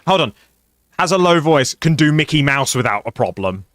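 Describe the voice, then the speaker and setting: A man with a low voice hosting a casual co-op gameplay session, chatty and playful, swearing now and then. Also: sing-song, moderate pace, fairly bright tone, dry recording, clean studio sound. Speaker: gaming channel host.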